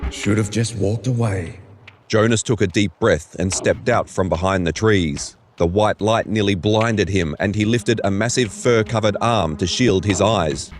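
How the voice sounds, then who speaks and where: A man's voice: on the low side, moderate pace, few pauses, slight background noise. A man reading scripted third-person story narration for an audio drama.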